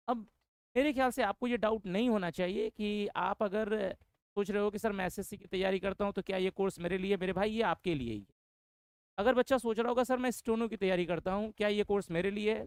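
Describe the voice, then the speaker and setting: A man's voice: high voice, gravelly, clean tone, no room sound, clean studio sound. A man introducing an English course to exam students, repeating his points and often calling them brother. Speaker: English teacher.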